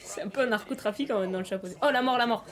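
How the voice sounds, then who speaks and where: A woman's voice: fairly high pitch, very fast. A woman in casual, joking chat with a slangy, giggly manner. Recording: music in the background.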